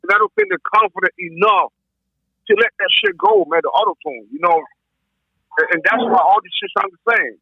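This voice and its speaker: High raspy dude, voice swings all over, cussing casually on a lo-fi podcast call. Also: male voice, brisk, dry recording. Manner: animated, blunt and profane. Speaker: podcast panelist. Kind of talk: casual chat about hip-hop. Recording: dull lo-fi call audio.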